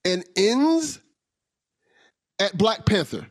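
A man's voice: high voice, very animated, drawn-out words, no room sound, clean studio sound. A man hosting a podcast in an enthusiastic, casual way, laughing often and repeating his words. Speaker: podcast host.

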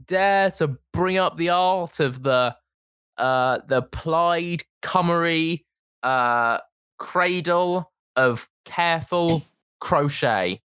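A young man co-hosting a podcast, chatting casually and teasing his friend with quick jokes. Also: fairly high pitch, fairly bright tone, clean tone, no echo. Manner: joking, teasing. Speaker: podcast co-host. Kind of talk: casual chat.

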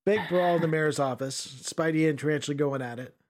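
A man's voice: a little high, a little fast, darkish tone. A man hosting a casual comic book podcast, joking and reading panels aloud. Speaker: podcast host.

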